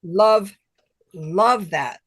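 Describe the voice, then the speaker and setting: A woman's voice: mid-range voice, very slow. A woman author chatting casually as an interview guest, enthusiastic and repeating phrases for emphasis. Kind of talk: casual chat.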